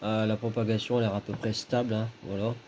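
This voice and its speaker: A man's voice: lowish voice, flat delivery, fairly quick, a little mellow. A man giving casual, matter-of-fact signal reports as an amateur radio operator, often repeating himself. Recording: faint background noise, radio contact.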